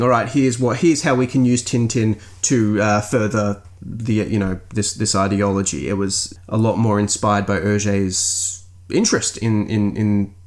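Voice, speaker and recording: A man hosting a podcast, giving a conversational book review, sometimes circling back on his phrases. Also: lowish voice. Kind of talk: conversational review, reading out listener comments.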